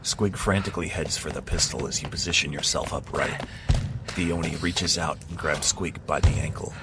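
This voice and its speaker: A man narrating a second-person adventure drama with a dramatic, measured delivery. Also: rumbling low, steady tone, heavy background noise.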